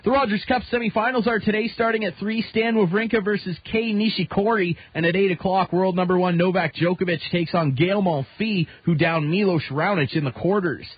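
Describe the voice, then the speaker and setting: A man's voice: high voice, brisk, few pauses, breathy edge, no echo, lo-fi sound. A Canadian man reading a scripted newscast as a radio news anchor. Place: radio studio.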